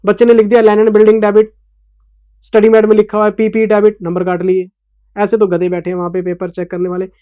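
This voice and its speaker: A man's voice: sits high, monotone, quick pace, warm tone, smooth, no room sound. A man in his forties, an accounting teacher giving a revision lecture in a passionate, scolding then explanatory manner, with an Indian English accent. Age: 40s.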